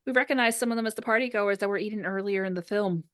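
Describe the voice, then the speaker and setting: A woman's voice: mid-range voice, racing, fairly bright tone. A woman hosting and narrating a script's stage directions aloud to friends. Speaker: host and narrator.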